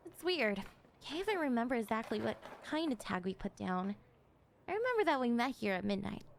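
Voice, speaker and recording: A high-pitched young woman skater, acted by a woman speaks in casual slang to an old friend. She is emotive and halting, affectionate with an apologetic streak. It is an ASMR roleplay recording with a faint layer of background noise. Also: heavily acted. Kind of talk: ASMR roleplay acting, casual one-sided dialogue.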